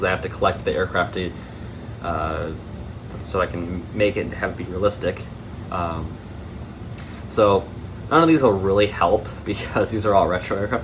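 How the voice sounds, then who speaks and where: Low-voiced man, casual and rambling. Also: noisy background. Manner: rambling, tangent-prone. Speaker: hobby video host. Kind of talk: casual unboxing commentary.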